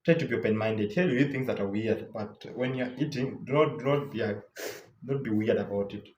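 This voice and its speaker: A man's voice: medium pitch, a little fast, darkish tone, roomy sound, highs cut off, a little hiss or hum. A young Kenyan man with a Kenyan English accent, giving casual travel tips in a loose, chatty way full of repeats and fillers. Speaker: travel vlogger. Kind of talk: casual travel advice talk.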